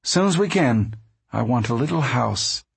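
A man's voice: mid-range voice, lively intonation, unhurried, creaky, breathy edge, no room sound, heavily acted. A man voicing a plain-spoken, protective farm mother in a storybook. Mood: plain, casual. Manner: folksy, firm.

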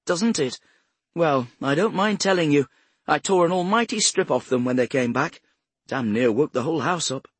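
A man's voice: medium pitch, big pitch swings, normal speed, husky, no echo, pitched above the performer's own voice. A man narrating an audiobook as a prim, self-righteous older woman with a cultured English accent. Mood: indignant, self-righteous. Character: cultured, haughty retired care-home matron, a grandmother.

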